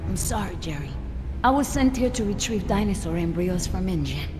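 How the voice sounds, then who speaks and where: An actress playing a tough, embittered smuggler with a conscience in a video game, grave and intense. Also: female voice, fairly low pitch, lively intonation, normal speed, noisy background, natural voice. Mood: grave, regretful. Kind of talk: dramatic video game acting. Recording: long hall reverb.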